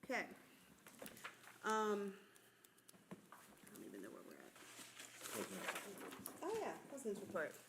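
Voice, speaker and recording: A woman at a mid-range pitch runs a borough council meeting, moving briskly from one agenda item to the next. Her voice comes through noisy room audio with a clear hiss underneath. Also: wildly swooping pitch. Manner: brisk, matter-of-fact agenda reading. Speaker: council president chairing a meeting. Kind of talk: running a public meeting agenda.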